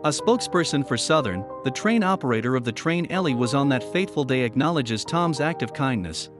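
A man's voice: medium pitch, expressive, fairly quick. A man reading scripted story narration with a dramatic storytelling delivery. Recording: voice-over with music bed. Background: music.

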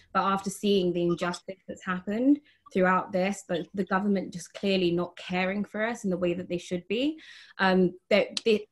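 A young woman speaking earnestly as a panelist on a video call from her bedroom, with frequent likes. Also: lowish voice. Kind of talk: online panel discussion. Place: bedroom with posters.

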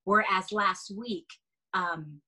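A woman's voice: mid-range voice, slow, sharp tone. A young woman from Haiti, a stage actor, chatting as a guest over a video call from a bedroom, with frequent fillers and laughs. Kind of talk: casual video-call interview. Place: bedroom indoors.

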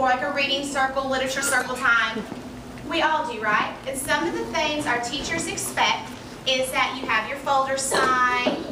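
A young woman teacher with a fairly high voice addresses a school assembly, upbeat and full of questions for the kids. Her voice carries through a big echoey gym, picked up from a distance. Background noise runs underneath. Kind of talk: school assembly talk to children. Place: large school gym in front of students. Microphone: handheld mic, recorded by far camera. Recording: echoey gym, from far off, noisy.

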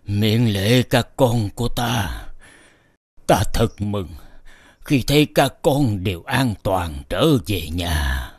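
A man playing an ailing old king and sorrowful father in an animated fairy tale, with a stately, drawn-out delivery. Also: fairly low pitch, breathy edge, no echo, studio quality, full character voice. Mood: relieved, solemn.

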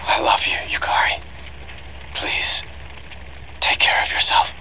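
A middle-aged actor playing a remorseful scientist father in an anime game, pleading gravely and deliberately. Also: sharp tone, in a whisper, rough recording. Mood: tender, resigned. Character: desperate, remorseful scientist father recording a last message.

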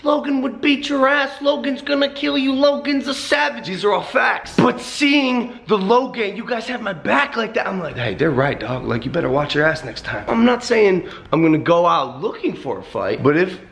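A man in his twenties, vlogging with hyped, slangy, jokey energy while his voice is a little deep from a sore throat, filmed on a handheld camera at arm's length in his apartment. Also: lively intonation, normal speed, creaky, hardly any pauses, fairly bright tone. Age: 20s. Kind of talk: energetic daily vlog. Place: apartment indoors.

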